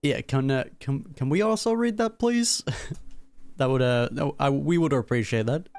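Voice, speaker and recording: A man's voice: medium pitch, expressive, moderate pace, a little mellow, dry recording. A young man giving casual, informal live reaction commentary over a game, talking back to the characters. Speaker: gaming reaction creator.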